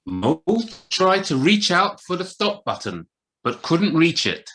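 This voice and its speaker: A woman acting and narrating in a children's audio play, expressive and deliberate. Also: on the low side, exaggerated voice.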